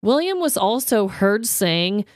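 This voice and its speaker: A woman's voice: mid-range voice, big pitch swings, slow pace, no echo, studio quality. A woman co-hosting a comedy podcast, chatting casually in a playful, slangy, giggly manner. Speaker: podcast co-host.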